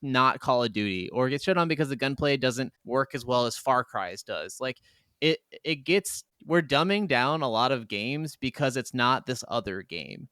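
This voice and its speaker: Smooth-voiced man chatting casually, dry close sound. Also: medium pitch, animated, normal speed, a bit bright, no echo. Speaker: podcast co-host. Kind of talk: casual gaming chat.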